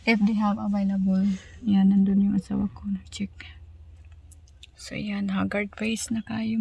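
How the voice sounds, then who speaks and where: Chatty woman, travel vlog host, slow and gravelly delivery. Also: medium pitch, a little mellow, no room sound. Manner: chatty, switches between English and Tagalog. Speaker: family vlogger. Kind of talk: casual travel vlog narration. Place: outdoors at a campsite.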